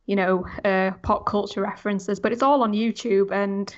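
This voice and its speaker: A woman's voice: mid-range voice, fairly even intonation, moderate pace, lo-fi sound. A woman chatting casually and giving her opinions as a podcast co-host. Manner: informal, opinionated. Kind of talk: casual chat.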